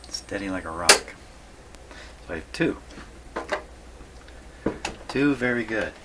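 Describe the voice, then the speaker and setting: A man's voice: lowish voice, animated, takes it slowly, heavy background noise. A man giving casual, folksy workbench commentary as a hobbyist host, musing aloud to himself. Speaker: radio repair hobbyist hosting a video.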